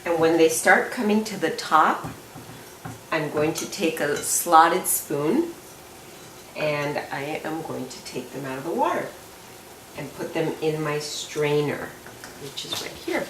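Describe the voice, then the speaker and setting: A woman with a deep voice explains a recipe slowly and chattily as she cooks. She is recorded from some way off in an echoey home kitchen. Faint clinks of pans and cutlery sit behind her. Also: expressive, a bit bright, recorded from a distance, a little hiss or hum. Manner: slow, homely and chatty. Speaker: home cook presenting a recipe. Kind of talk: step-by-step cooking demonstration. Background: clinking pots and cutlery.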